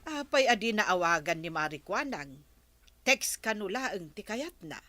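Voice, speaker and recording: Bright-voiced gossipy Ilocano neighbour woman. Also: mid-range voice, sing-song, moderate pace, soft background music. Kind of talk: comedy radio drama acting.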